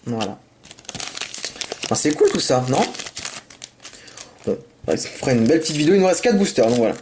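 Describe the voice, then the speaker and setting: A man with a sore throat from a cold talks his way through opening card packs. He rambles and apologizes, then gets excited about the cards, in a casual, home-made commentary. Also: medium pitch, a little fast, background noise. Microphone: camera mic.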